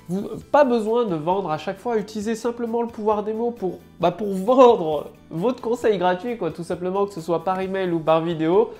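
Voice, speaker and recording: A woman's voice: medium pitch, dark tone. A woman with a head cold giving a casual marketing tutorial to camera, loose and full of fillers and restarts. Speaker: online marketing coach.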